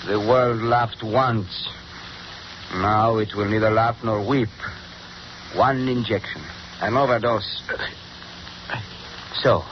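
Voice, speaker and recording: A vengeful mad doctor. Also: male voice, fairly low pitch, steady tone, takes it slowly, long pauses. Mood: gloating. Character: mad scientist doctor, middle-aged man, cold and vengeful. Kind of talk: 1930s radio drama. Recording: noisy dull 1930s radio recording.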